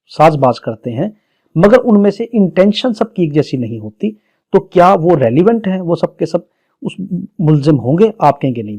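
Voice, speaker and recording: A man's voice: fairly high pitch, mellow. A man with a Pakistani accent giving a didactic exam-prep law lecture, reading phrase by phrase, then explaining and posing questions. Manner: didactic, reads phrase by phrase, poses questions and answers them.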